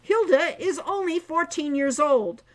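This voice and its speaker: A woman's voice: high voice, lively intonation, unhurried, pitched above the performer's own voice. Woman voicing a stern, furious centaur father. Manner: formal, indignant, scolding speech. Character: stern, angry centaur father, farmer and archer.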